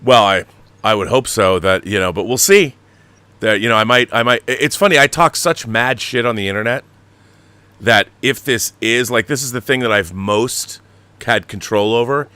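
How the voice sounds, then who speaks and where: A man hosting a casual livestream, explaining things in a rambling, animated, slangy way, like a director talking shop. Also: medium pitch, normal speed, clean tone, no room sound. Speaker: show host and audio drama director.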